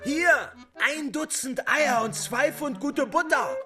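A boisterous soldier calls out orders to his comrades in a radio play. The voice is pushed extremely high as it shouts, with music clearly behind it. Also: takes it slowly. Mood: excited.

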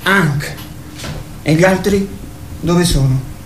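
A woman's voice: lowish voice, takes it slowly. An actress playing a nervous young female wild goose, lost and given to honking cries, in a radio drama. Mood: agitated. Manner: jittery, breaks into honking cries. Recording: roomy sound with faint noise.

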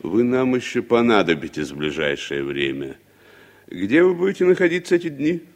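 An actor playing a stern, formal commission interrogator with deliberate official phrasing in a radio drama. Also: male voice, mid-range voice, big pitch swings. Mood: stern, curt. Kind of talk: Soviet radio drama acting.

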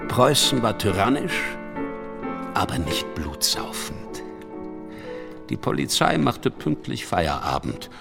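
A proud, melancholy old artist broods aloud in a radio play. His voice is deep and creaky, speaking slowly in short phrases over clear music. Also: sing-song, full character voice. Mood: weary, dry. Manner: terse, brooding, musing monologue. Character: weary, proud old court painter Menzel, melancholy.

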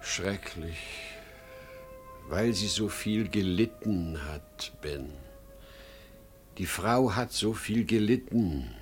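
A man's voice: on the low side, slow pace, faint music behind. An actor playing a desperate, worn-out salesman in his sixties, urgent and pleading, in 1950s German radio drama style. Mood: anguished. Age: older. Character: desperate worn-out 63-year-old salesman plotting his death.

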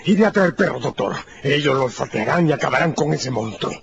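An actor playing a dutiful armed colonel in a radio drama, with a formal manner of speech. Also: male voice, medium pitch, animated, fairly quick, creaky, crowd noise. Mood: resolute. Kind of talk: Spanish-language radio drama acting. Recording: dull, noisy radio drama recording.

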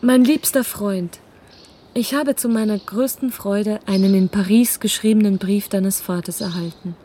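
An actress playing a loving, gentle young woman who reads her letter aloud in a radio drama, measured and affectionate. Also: medium pitch, fairly even intonation, a little hiss or hum, close to an everyday voice. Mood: wistful, affectionate. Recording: radio play with faint birdsong.

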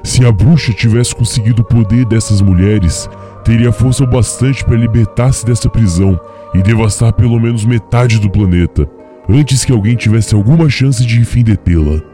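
A man's voice: on the low side, moderate pace, dark tone, soft background music, close to an everyday voice. A man playing an ancient, grandfatherly golden dragon librarian in a fantasy audio drama, speaking formally and with authority. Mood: solemn, grateful. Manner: formal, solemn phrasing.

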